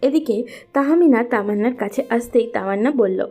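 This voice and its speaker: A woman's voice: on the high side, normal speed, warm tone, faint music behind. A woman narrating a scripted romantic story as its storyteller.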